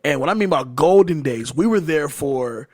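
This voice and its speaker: A man's voice: on the high side, animated, normal speed, a little mellow, dry recording, studio quality. A man in his late twenties hosting a casual movie chat show, loose and joking, swearing freely. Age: late 20s. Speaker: podcast host.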